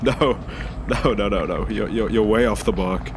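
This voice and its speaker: A man's voice: lowish voice, normal speed, noisy background, lightly acted. A man playing a nervous, defensive taxi passenger who stammers and hesitates, in a science fiction audio drama. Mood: nervous, awkward.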